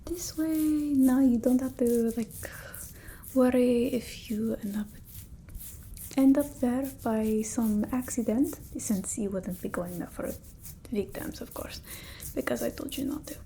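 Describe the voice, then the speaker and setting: Woman acting a slow, whispery vampire mistress. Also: fairly high pitch, slight background noise, rough recording. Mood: soothing, protective. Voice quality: soft, breathy, often whispered. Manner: halting, matter-of-fact, gently scolding. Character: bossy, doting female vampire tending her human familiar. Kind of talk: ASMR roleplay, soft speech mixed with whispering.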